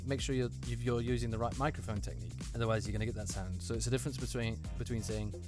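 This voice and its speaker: Guy voice actor giving casual audition tips from a booth, mid pitch, music playing behind him. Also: steady tone, quick pace. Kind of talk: casual unscripted critique and tips. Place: acoustically treated recording booth.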